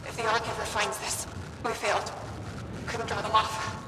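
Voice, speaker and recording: A woman's voice: medium pitch, flat delivery, close to an everyday voice. A woman voicing a defeated ship captain's last log. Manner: grim, halting recorded log. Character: doomed female ship captain, weary and defeated. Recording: noisy game audio.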